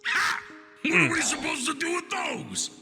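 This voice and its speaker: A man's voice: very high voice, huge pitch swings, moderate pace, gravelly, heavily acted. A man playing a fearsome, imperious villain warlord in a comic dub, blunt and commanding. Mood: scornful. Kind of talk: theatrical comic dub acting. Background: music.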